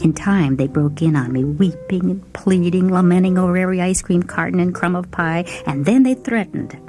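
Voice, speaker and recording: A woman narrating a first-person short story in a dramatic, expressive, theatrical manner. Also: lowish voice, normal speed. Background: music.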